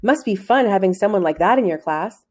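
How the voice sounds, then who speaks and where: A woman's voice: medium pitch, expressive, brisk, a little mellow, lightly acted. A woman reading the part of a cheerful, chatty woman archaeologist in a children's storybook. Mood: amused, chatty.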